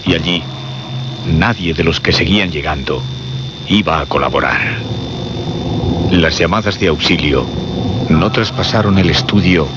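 Very deep man narrating horror. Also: background noise. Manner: solemn, suspenseful, with dramatic pauses and repetition. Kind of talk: dramatic scripted horror narration. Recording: muffled radio recording with music behind.

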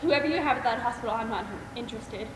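Cold, high-voiced unforgiving mother played by a woman. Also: fast, recorded from a distance, lightly acted. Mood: cold, dismissive. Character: cold, unforgiving mother rejecting her son. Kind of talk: amateur stage play acting. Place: on a theater stage, distant camera. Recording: echoey theater hall from afar, noisy.

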